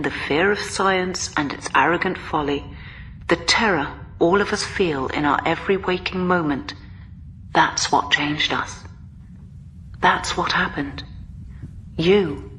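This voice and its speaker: Radio drama role: prim, ageing female keeper, formal and grave, deep for a woman, very measured delivery. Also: a little hiss or hum. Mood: solemn. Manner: formal, measured, solemn, breathy hushed moments.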